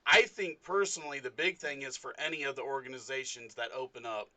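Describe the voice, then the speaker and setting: A man's voice: medium pitch, fairly quick, pushed and bright, no room sound. A man hosting a sports podcast, chatting casually and rambling with many fillers. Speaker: podcast host. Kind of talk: casual interview chat.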